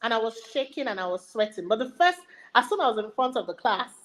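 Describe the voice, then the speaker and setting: A woman's voice: on the high side, big pitch swings, quick pace. A woman with a Nigerian accent giving an emphatic motivational webinar talk, repeating her key phrases for effect. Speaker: learning and development speaker.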